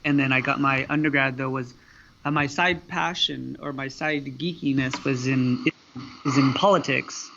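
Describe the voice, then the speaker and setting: A man from the US answering interview questions in a halting, storytelling way, with many fillers. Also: mid-range voice, steady tone, gravelly. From United States. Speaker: guest, student affairs professional. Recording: dull lo-fi audio with faint noise.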